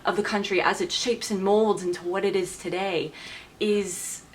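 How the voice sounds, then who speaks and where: A woman's voice: mid-range voice, fairly even intonation, moderate pace, slight background noise. A woman in her twenties talking casually to camera as a vlogger, chatty and enthusiastic, laughing often as she talks. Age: 20s. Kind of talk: casual vlog talk to camera.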